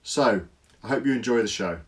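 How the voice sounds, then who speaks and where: A man's voice: medium pitch, a little fast. A man hosting a company interview series, introducing it and chatting with a guest in a loose, friendly way full of ums. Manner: friendly, loose, lots of ums and trailing phrases. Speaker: host from the company. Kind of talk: interview host, casual business chat.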